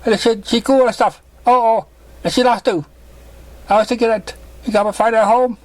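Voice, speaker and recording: Man putting on an excitable, high-pitched little filly. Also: moderate pace, no echo. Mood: excited. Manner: eager, childlike, rambling. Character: excitable young girl pony filly, cheeky and pleading.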